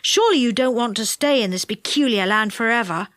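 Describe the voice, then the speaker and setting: Lady doing a sensible bossy boy in a kids story, firm, high voice, dry. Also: a little fast, fairly bright tone, dry recording, close to an everyday voice. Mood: serious. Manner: firm, instructing.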